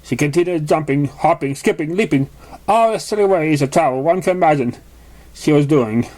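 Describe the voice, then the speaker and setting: High-pitched man reading a story, stumbling. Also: animated, moderate pace, a little mellow. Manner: stumbles over words while reading. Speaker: amateur reader of fan fiction. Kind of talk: scripted story narration.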